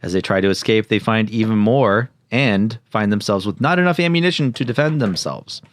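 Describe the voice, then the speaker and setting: A man's voice: lowish voice, no echo, clean studio sound. A man co-hosting a podcast, reading out a plot summary and dropping in joking asides. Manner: jokey, interjects and riffs. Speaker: podcast co-host.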